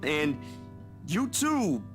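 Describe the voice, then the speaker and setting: A man's voice: on the high side, huge pitch swings, extremely slow, voice pushed up, exaggerated voice. A man voicing a shy, flustered young photographer who stammers and stays awkwardly polite. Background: game music.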